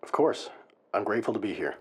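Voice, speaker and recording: A professional hostage negotiator, with a lowish, husky voice. He talks quickly as an interview guest in a scripted audio drama. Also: lively intonation, darkish tone. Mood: courteous, professional.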